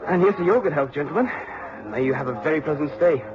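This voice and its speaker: A man's voice: on the high side, animated, fast talker, natural voice. A man playing an agreeable, polite young local man, friendly and eager, in a radio drama. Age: young adult. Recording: dull, noisy radio recording.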